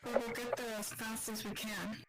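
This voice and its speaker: A woman's voice: fairly high pitch, level tone, normal speed, over loud music, lightly acted. A teenage woman acting a concerned police dispatcher in a radio theatre play. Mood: concerned, official.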